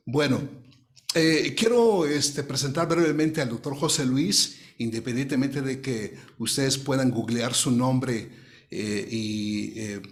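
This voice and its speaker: Courteous man hosting a formal interview. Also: medium pitch. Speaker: host, interviewer.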